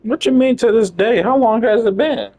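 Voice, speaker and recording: A man giving casual, expressive live commentary as he reacts to a video game, irreverent and sweary. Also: very high voice, normal speed. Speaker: streamer reacting to a game story.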